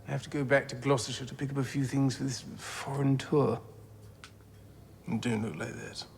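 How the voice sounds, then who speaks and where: An actor playing a stiff, aloof prince with an upper-class English accent in a screen drama, with faint noise behind him. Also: male voice, mid-range voice, fairly even intonation, normal speed, gravelly, natural voice.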